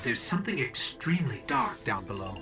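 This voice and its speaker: A man voicing a small, earnest, curious robot companion in a video game, with an expressive, urgent delivery. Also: medium pitch, fairly quick, vocal fry. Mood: uneasy wonder. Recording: game music behind the voice. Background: video game soundtrack.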